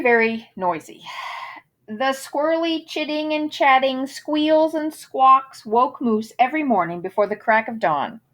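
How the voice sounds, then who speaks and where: A woman reading a children's picture book aloud in a theatrical manner, savoring the rhymes and wordplay with dramatic emphasis. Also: sits high. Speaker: storybook reader.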